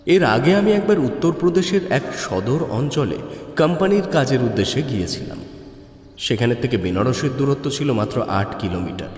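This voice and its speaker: A man's voice: medium pitch, darkish tone. A man narrating a horror story in a dramatic, scripted style. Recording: echoey long reverb, music underneath.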